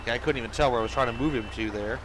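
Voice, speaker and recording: A man with a cold talks loosely through his police game and switches to loud barked orders during traffic stops. His voice sits at an ordinary pitch, and a steady wash of noise runs under it. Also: racing. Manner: barks loud commands at suspects. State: sick with a cold. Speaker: gameplay video host. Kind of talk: casual commentary while playing a police game.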